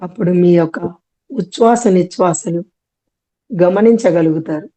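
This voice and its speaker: A woman leading a guided meditation, giving gentle instructions in short, often repeated phrases. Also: fairly low pitch, moderate pace.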